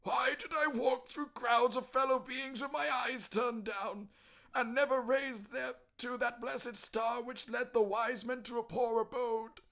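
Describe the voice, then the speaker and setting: A man voicing the anguished ghost of a dead businessman in a theatrical audiobook, slow, wailing and declamatory. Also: very high-pitched, steady tone, gravelly, pushed and bright, pitched above the performer's own voice, exaggerated voice. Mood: tormented, urgent. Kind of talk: theatrical audiobook character acting.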